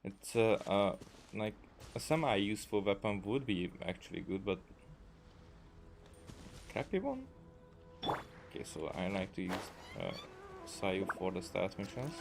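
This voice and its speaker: A man giving casual gameplay commentary in a hesitant, halting way, as if thinking aloud. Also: sits low, sing-song, creaky. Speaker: gaming video creator. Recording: game music behind the voice. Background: video game music.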